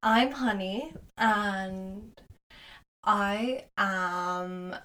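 A woman's voice: medium pitch, drawn-out words, a bit bright. A young adult woman co-hosting a podcast in casual chat, informal, giggly and frank. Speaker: podcast co-host.

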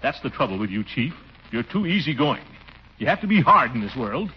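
An actor playing a pompous, scheming middle-aged town commissioner in a blustery 1940s radio comedy style. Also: male voice, mid-range voice, sing-song, brisk, husky. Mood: indignant, goading. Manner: blustery, swooping delivery with chuckles. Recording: muffled, noisy 1940s radio recording.

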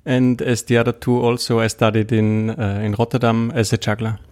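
A young man with an Austrian accent, a native German speaker, giving halting, casual interview answers. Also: medium pitch, little pitch movement, a little mellow. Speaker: juggler, interviewee.

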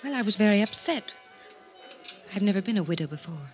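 Actress as a brisk, testy radio widow. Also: female voice, mid-range voice, expressive, soft background music, lightly acted. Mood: defensive. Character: cool, testy widow under questioning. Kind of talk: 1950s radio drama acting. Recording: 1950s radio drama recording.

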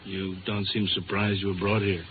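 A man playing a smug, menacing crime boss in a 1950s radio drama, polite and measured with veiled threats. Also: low-pitched, little pitch movement, natural voice. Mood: smug, cool. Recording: noisy, narrow-band old radio broadcast.

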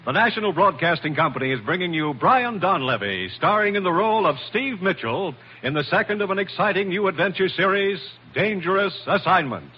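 A man's voice: high voice, lively intonation, a little fast, hardly any pauses. A man working as a 1940s radio announcer, delivering scripted introduction and scene-setting narration. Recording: dull old radio recording. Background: music.

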